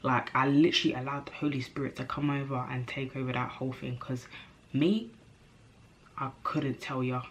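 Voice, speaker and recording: A young woman vlogging to camera in a chatty, animated way, her nose a bit stuffy from a cold. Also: rumbling low, normal speed, slight background noise. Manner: chatty, animated, self-interrupting, slangy. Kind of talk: casual chat to camera.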